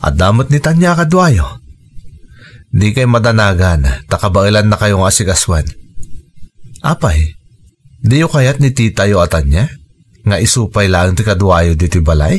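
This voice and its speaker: Low-voiced radio drama father. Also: lively intonation, a little slow, pauses often. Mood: explaining, reassuring. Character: firm, reassuring middle-aged father of two children. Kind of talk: Ilocano radio drama acting.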